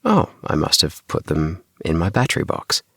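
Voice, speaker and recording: Man playing insistent young tinkerer, creaky low voice. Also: huge pitch swings, moderate pace, a bit bright, no echo, studio quality, exaggerated voice. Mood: matter-of-fact. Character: earnest, defensive child inventor telling own story. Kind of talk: children's audiobook character dialogue.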